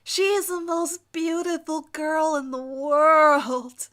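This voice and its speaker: A woman voicing a big, cheerful, oblivious, lovesick teenage boy in a dramatic fan fiction reading, guileless and excitable. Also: high-pitched, slow, voice pushed up. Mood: lovesick, nervous.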